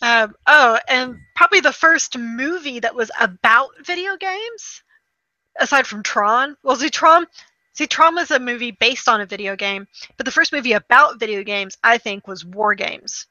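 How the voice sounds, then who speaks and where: A woman co-hosting a podcast, chatting casually and telling stories in an animated way. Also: fairly high pitch, moderate pace, no echo, highs cut off. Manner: animated storytelling with acted-out quotes. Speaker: podcast co-host. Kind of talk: casual chat about films and video games.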